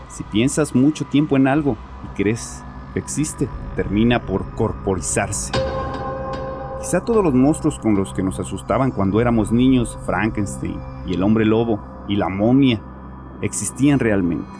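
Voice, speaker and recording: An actor playing a tormented, guilt-ridden father in a horror audio drama, confessing to a psychiatrist in an agitated, defensive, halting way. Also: fairly low pitch, expressive. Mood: brooding, uneasy. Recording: music behind the voice.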